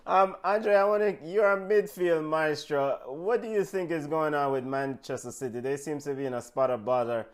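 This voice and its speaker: A man hosting a sports talk show, brisk and upbeat, keeping things moving against the clock. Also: on the high side. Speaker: host.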